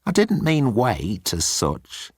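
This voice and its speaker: A man voicing a timid, pleading young alien officer with a squeaky, flustered voice in a sci-fi audiobook. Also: huge pitch swings, slow pace, slightly rough, no echo, studio quality, heavily acted. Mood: anxious, flustered. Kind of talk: audiobook character acting.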